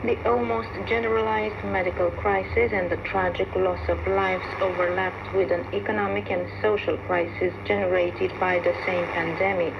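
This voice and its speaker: A woman's voice: mid-range voice, little pitch movement, moderate pace, vocal fry, hardly any pauses. A woman hosting a radio programme reads a scripted news review, heard over shortwave. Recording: very noisy, dull shortwave radio reception. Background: loud radio static and noise.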